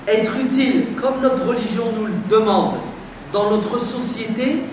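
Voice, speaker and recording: High-voiced man preaching in an echoey hall. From France. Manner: rhetorical questions to the crowd, emphatic and conversational. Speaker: preacher addressing a community audience. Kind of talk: lively lecture to a live audience. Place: community centre hall. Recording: very echoey hall, distant, noisy.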